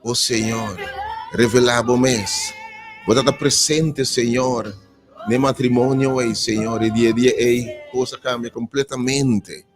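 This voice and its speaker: A man leading an impassioned prayer, his voice sinking low and soft at the end of each phrase. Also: steady tone, a little slow. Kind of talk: fervent prayer over music. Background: music playing.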